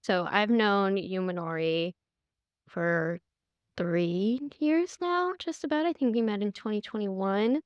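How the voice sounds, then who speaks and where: A woman's voice: medium pitch, takes it slowly, clean tone, no room sound, highs cut off. A young adult woman hosting an interview in an upbeat, encouraging way. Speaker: podcast host.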